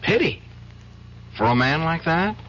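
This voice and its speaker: An actor playing an agitated male lawyer in an old-time radio drama, speaking urgently with halting stammers on a dull, noisy recording. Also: a little high, expressive, fairly bright tone. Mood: insistent, troubled. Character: agitated, guilt-driven male lawyer named Ned.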